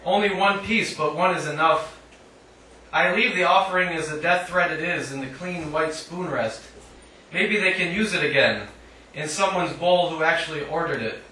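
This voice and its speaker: A man giving a live poetry reading in a measured, declamatory way with dry humour, adding short spoken asides. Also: fairly high pitch, sharp tone. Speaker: poet reading own work. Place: indoor literary cafe reading. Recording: echoey live room, distant mic, faint noise.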